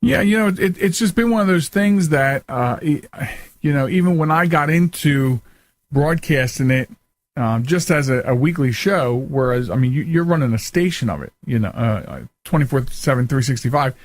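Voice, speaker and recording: A man's voice: mid-range voice, lively intonation, moderate pace, no echo. A man hosting a talk show in a casual, chatty, rambling storytelling way. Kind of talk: casual interview chat.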